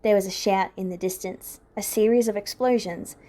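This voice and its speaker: A female narrator reads a piece of fiction from a script. Her voice sits at an average pitch, and her storytelling is expressive. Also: normal speed. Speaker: podfic narrator.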